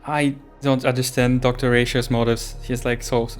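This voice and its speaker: A man's voice: mid-range voice, flat delivery, normal speed, faint music behind. A man reacting casually to a video game story, puzzling over it aloud. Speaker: reaction streamer. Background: game audio.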